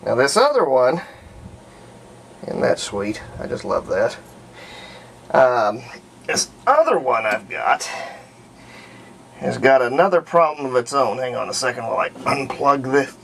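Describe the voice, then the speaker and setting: A man's voice: mid-range voice, big pitch swings, a little slow, vocal fry, long pauses, a bit bright, slight background noise. A man talking casually and unscripted in a folksy way as he demonstrates a hands-on repair at an indoor workbench. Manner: folksy, unscripted, narrating while working. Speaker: hobbyist radio restorer presenting a repair.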